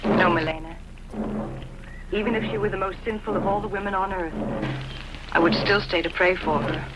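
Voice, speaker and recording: A pious young princess played by a woman speaks with earnest resolve in a medium-pitched voice. The costume-film dub is buried in loud noise. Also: steady tone, normal speed, lightly acted. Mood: resolute, sorrowful. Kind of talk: dubbed costume film acting. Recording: very noisy fan dub.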